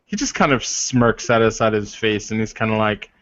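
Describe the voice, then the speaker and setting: A man narrating an improvised tabletop roleplay, halting as he searches for words. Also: lowish voice, a bit bright. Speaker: roleplayer narrating his character. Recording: dull, lo-fi, roomy sound.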